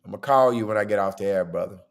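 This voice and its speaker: A man hosting a podcast in a casual, chatty way. His voice is hoarse and worn, and he keeps checking in with "okay?". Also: lowish voice, quick pace. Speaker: podcast host.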